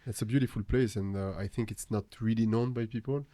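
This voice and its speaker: A man from Tunisia with a French Tunisian accent, a guest artist talking casually on a podcast, halting and filling with "you know". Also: on the low side, fairly even intonation, mellow, no echo.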